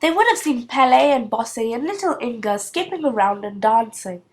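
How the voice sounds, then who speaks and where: A woman reading a children's story aloud as an expressive narrator. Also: fairly high pitch, moderate pace. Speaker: storybook reader.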